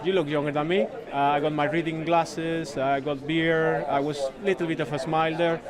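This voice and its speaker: Man, Spanish accent, native Spanish speaker, slightly high pitch, flat intonation, halting casual product explanation. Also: a crowd in the background. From Spain. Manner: hesitant, halting delivery with repeated words. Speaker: software consultant demonstrating a product, interviewee. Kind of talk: casual product demo and interview. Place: busy conference venue.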